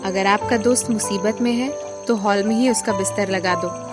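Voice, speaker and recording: A woman playing a guarded, quietly authoritative elder sister in an audio drama, speaking in a measured, stern way. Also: mid-range voice, steady tone, music in the background, lightly acted. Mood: resigned, caring.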